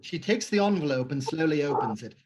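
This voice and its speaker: A man's voice: on the high side, lively intonation, brisk, darkish tone. A man reading stage directions aloud in a livestream script reading, matter-of-fact.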